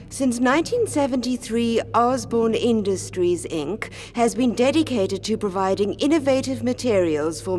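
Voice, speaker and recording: An actress playing a polished, formal corporate lab tour guide in a radio drama, with a scripted, presentational manner. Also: female voice, mid-range voice, darkish tone, slight background noise, close to an everyday voice.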